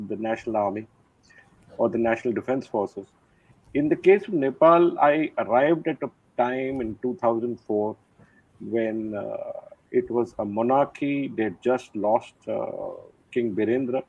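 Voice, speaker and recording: Older general on a thin call line. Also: male voice, medium pitch, creaky, husky, no echo. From India. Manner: measured, reflective, many "uh" pauses. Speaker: retired army general, guest. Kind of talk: interview conversation. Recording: thin phone-like line, dry.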